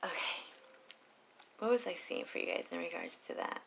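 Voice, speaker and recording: A woman reading tarot late at night, talking softly and low and slipping into whispers. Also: huge pitch swings, normal speed, vocal fry, slight background noise. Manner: soft and low, drifting into whispers. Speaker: tarot reader.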